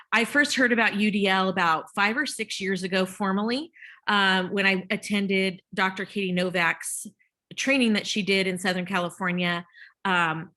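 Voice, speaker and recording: Bright-voiced woman presenting a workshop. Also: mid-range voice. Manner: chatty, with many fillers and restarts. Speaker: teacher-trainer presenting a workshop. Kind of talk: casual conference presentation.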